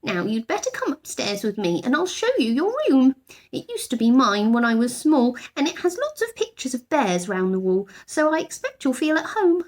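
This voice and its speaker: Young girl, performed by a woman reader: welcoming, helpful storybook chatterer, high-pitched and keen. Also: big pitch swings, normal speed. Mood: chatty, welcoming. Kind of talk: children's storybook character voice.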